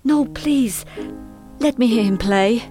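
Actress as a gentle lady in a radio play, really unhurried, piano playing clearly behind her. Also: a little high. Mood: tender, moved. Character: gentle, appreciative woman moved by music.

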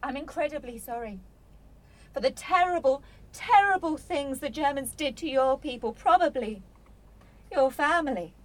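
An actress playing a guarded, blunt young student in a radio drama, speaking in a clipped, defensive way. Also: female voice, high voice, animated, normal speed. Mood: defensive, upset. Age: young adult.

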